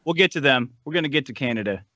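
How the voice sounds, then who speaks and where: A man's voice: medium pitch, animated, very fast, pushed and bright, dry recording, muffled recording. A man speaking as a podcast panelist in a casual political debate, opinionated and casually profane.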